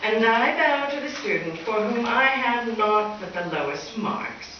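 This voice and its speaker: A woman playing a wisecracking high school teacher with a dry, sardonic delivery in an old-time radio comedy. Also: lively intonation, measured pace. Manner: dry, sardonic, mock-formal. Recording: echoey stage from far off, dull, hissy.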